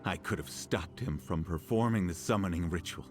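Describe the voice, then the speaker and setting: Older village man for a game, male actor: deep, regretful, gentle, weighed down by guilt. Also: moderate pace, close to an everyday voice. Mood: remorseful. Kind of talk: video game voice acting. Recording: music playing behind the voice.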